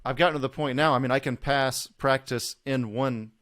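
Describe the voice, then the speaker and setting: A man's voice: mid-range voice, steady tone, moderate pace, fairly bright tone. A man hosting a casual interview, chatty and self-deprecating, who laughs easily.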